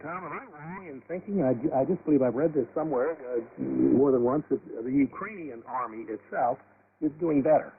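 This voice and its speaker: A man's voice: mid-range voice, brisk, gravelly. American male panel host, mid-range voice, conversational questioning, heard over narrow shortwave radio. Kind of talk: conversational interviewing. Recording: muffled, faintly noisy shortwave radio broadcast.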